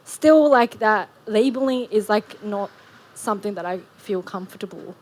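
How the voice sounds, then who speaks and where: A woman from Japan in the audience, asking a halting question full of "like". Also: medium pitch, expressive, a little mellow, low-quality recording. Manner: halting, heavy use of "like". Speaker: audience member.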